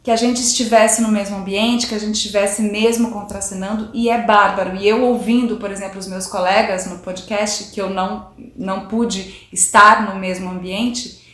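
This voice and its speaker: A woman's voice: medium pitch, fairly even intonation, moderate pace, a bit bright, reverberant. A woman actress talking enthusiastically and thoughtfully about playing her role, with frequent restarts. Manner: enthusiastic, reflective, hesitant restarts. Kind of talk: interview talk.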